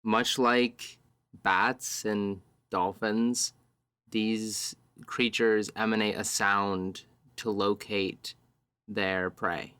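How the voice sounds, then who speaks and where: A man playing a tabletop roleplaying game, describing scenes as he improvises them, piecing his sentences together in halting fragments. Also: lowish voice, little pitch movement, pushed and bright, smooth, dry recording.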